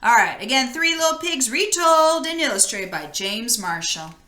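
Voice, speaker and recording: Animated, bright-voiced woman reading a storybook. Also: a little high, normal speed. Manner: animated, addresses listeners directly. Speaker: storybook reader. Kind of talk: expressive children's read-aloud. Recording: echoey room.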